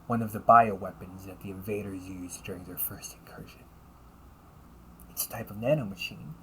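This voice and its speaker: A man playing a villainous older brother, tender, remorseful and obsessively resolved, in a hushed, deliberate audio roleplay monologue. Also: on the low side, slight background noise, natural voice, low-quality recording. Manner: slow, hushed, often whispering, deliberate pauses.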